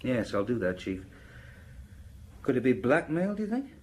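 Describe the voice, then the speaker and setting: A man's voice: medium pitch, big pitch swings, normal speed, slight background noise, natural voice. An actor playing a diligent local police inspector in an audio drama, giving a conversational, slightly hesitant briefing to his superior. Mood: deferential. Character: local male police inspector, matter-of-fact, diligent, deferential.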